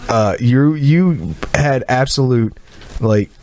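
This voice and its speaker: A man's voice: mid-range voice, animated, slow, a little mellow, a little hiss or hum. A man co-hosting a casual podcast, rambling with lots of repeated words and swearing, close to his mic. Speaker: podcast co-host. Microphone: close studio mic.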